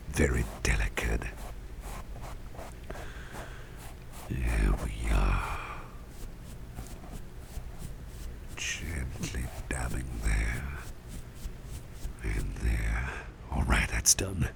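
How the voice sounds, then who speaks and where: A man playing a caring, gentle boss in an ASMR roleplay, murmuring tender reassurance in breathy whispers with a gravelly rumble. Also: slow pace, full character voice. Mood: gentle, focused. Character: caring, gentle male boss soothing a cursed employee.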